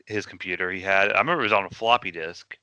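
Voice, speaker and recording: A man co-hosting a casual podcast chat, telling quick stories and steering the talk between topics. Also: sits low, bright, forceful tone, clean tone, dry recording, lo-fi sound. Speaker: podcast co-host.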